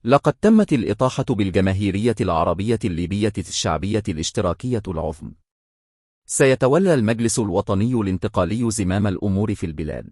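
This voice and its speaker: A man with a fairly deep voice delivers a formal emergency broadcast statement. He reads quickly from a script in a solemn manner, and the sound is very dry, with no room echo. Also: expressive, darkish tone.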